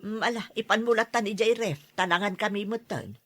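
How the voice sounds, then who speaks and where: Actress doing a caring mom who scolds a bit, bright forward voice, audio drama, matter of fact. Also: female voice, medium pitch, brisk, lightly acted. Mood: mildly scolding. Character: caring, gently scolding mother of a schoolboy.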